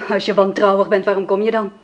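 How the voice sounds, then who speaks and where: A woman's voice: mid-range voice, flat delivery, a little fast, lo-fi sound, lightly acted. An actress playing a young farm woman receiving her former lover at night, cold, wary and reproachful. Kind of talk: radio play acting.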